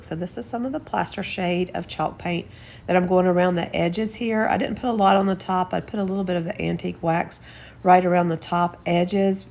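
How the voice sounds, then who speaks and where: Chatty hoarse Southern woman. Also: fairly low pitch, a little mellow. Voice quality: scratchy. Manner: chatty, homey, explains each step. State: slightly hoarse from a cold. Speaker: craft channel host. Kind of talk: casual DIY voice-over.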